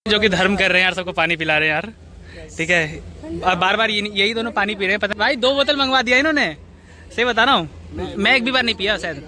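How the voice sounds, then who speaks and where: High-pitched young man vlogging. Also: fast talker, pushed and bright. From Bihar, India. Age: 20s. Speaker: college vlogger. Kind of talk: casual chat to camera. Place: outdoors on a college campus. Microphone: phone at arm's length. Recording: outdoor phone recording with crowd chatter, music.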